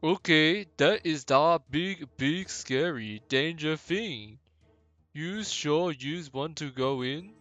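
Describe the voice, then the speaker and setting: A man's voice: a little high, a bit bright, faint music behind, pitched above the performer's own voice. A man voicing a simple-minded, earnest ogre in a video game, warning people in childlike broken English. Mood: cautious. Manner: slow, childlike broken grammar. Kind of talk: hobby game voice acting.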